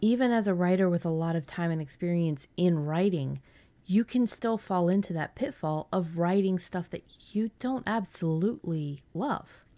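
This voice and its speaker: A woman's voice: fairly low pitch, moderate pace, mellow. A woman hosting a casual writing podcast, talking candidly and enthusiastically, with some casual swearing. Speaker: podcast host and fiction writer.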